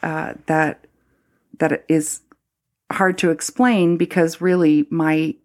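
A middle-aged Canadian woman, a former priest, speaking reflectively in an interview with many pauses, fillers and restarts. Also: low voice, fairly even intonation. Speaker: former Anglican priest, guest.

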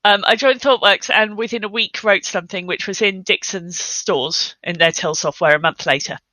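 A middle-aged woman with a bright, forward voice, recorded close and dry. She talks as an interview guest in a chatty, emphatic storytelling way, now and then correcting herself. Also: medium pitch, normal speed, dry recording, rough recording. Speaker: podcast guest, software consultant.

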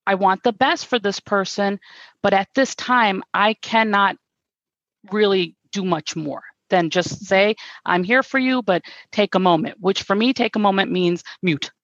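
A woman's voice: medium pitch, measured pace, no echo, lo-fi sound. A woman guest speaking earnestly and reflectively about sewing and self-expression in a conversational interview. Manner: earnest, reflective, repeats words when restarting.

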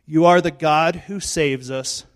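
Hoarse man preaching a chatty sermon. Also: a little high, fairly even intonation, measured pace. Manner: chatty, self-joking, conversational. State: hoarse, losing his voice. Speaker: pastor. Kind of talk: informal sermon. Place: church. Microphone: handheld microphone.

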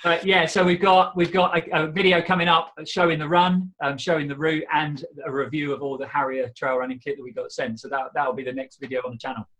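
A man with a slightly croaky voice, a podcast guest chatting casually about trail running, laughing often and filling pauses. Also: fairly high pitch, steady tone, fairly bright tone, poor audio. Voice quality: laughs often, easygoing, says "um" and "you know" often.

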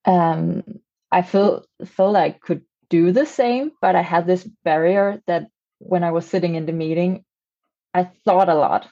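A woman's voice: lowish voice, unhurried, mellow, muffled recording. A native Danish-speaking woman with a Danish accent, answering interview questions conversationally and searching for words as she goes. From Denmark. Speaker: podcast guest, communications consultant.

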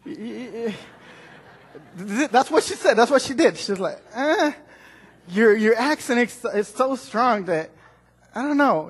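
A man with a Mexican accent, Spanish his first language, preaching a sermon in English and Spanish, halting, restarting and laughing at himself. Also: high-pitched, breathy edge, big-room sound. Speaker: pastor. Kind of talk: bilingual sermon with own interpreting. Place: large church sanctuary.

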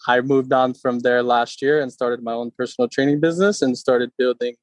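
A man's voice: mid-range voice, brisk, dry recording. Man in his thirties, from Connecticut, easygoing guest, mid-range voice, dry close recording. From Connecticut, USA. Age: 30s. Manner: relaxed, many fillers. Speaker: personal trainer, guest. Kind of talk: casual interview.

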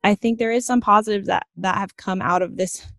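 A woman's voice: medium pitch, fairly even intonation, brisk, creaky, a bit bright, dry recording, clean studio sound. A woman hosting a podcast, chatting casually with a guest in an encouraging, affirming way. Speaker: podcast host. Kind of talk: casual interview chat.

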